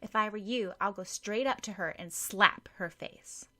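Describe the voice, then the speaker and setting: A woman's voice: mid-range voice, moderate pace, pushed and bright, close to an everyday voice. A woman voicing a mischievous, outspoken girl in an audiobook, blunt and pert. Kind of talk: audiobook character dialogue.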